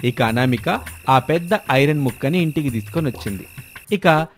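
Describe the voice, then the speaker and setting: A man's voice: medium pitch, moderate pace, slight background noise. A man reading a scripted story as its narrator. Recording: music bed behind the voice.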